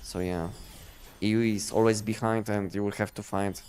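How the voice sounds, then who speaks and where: A man chatting casually on a game livestream, explaining things in a halting, repetitive way. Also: fairly low pitch, flat delivery, a little mellow, clean tone. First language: not English. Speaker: game live streamer.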